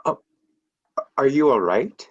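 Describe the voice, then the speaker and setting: A man's voice: medium pitch, expressive, slow. A man in a screenplay table read, playing a concerned son questioning his mother. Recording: muffled lo-fi mic, faint noise.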